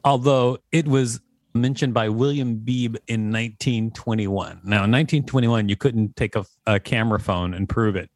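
A man's voice: mid-range voice, normal speed, no echo, clean studio sound. A man co-hosting a podcast with casual, conversational commentary. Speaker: podcast co-host. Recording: music behind the voice. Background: music.

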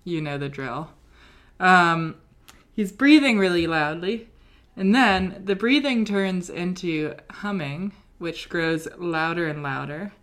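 A woman's voice: fairly low pitch, expressive, takes it slowly, a bit bright. A young British woman co-hosting a podcast, telling a story in a casual, rambling way with many ums and likes. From Britain. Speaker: podcast co-host. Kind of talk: casual chat.

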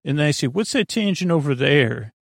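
A man hosting a bedtime podcast in a creaky, soothing voice, meandering haltingly through digressive monologue meant to lull listeners to sleep. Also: fairly high pitch, lively intonation, no echo, clean studio sound. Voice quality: creaky, gravelly. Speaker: podcast host.

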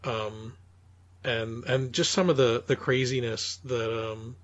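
A man co-hosting a podcast in casual conversation, haltingly repeating words as he searches for his phrasing. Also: mid-range voice, fairly even intonation. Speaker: podcast co-host. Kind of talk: casual chat reviewing audio dramas. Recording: dull, lo-fi voice recording.

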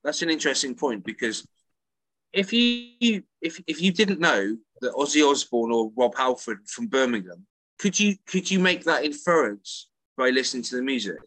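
Brief: male co-host and teacher, bright and forceful voice, stumbling starts, dry close mic. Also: mid-range voice, moderate pace, no echo, low-quality recording. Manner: animated storyteller, repeats words when starting sentences. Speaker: podcast co-host and English teacher. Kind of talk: casual chat. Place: works in Italy.

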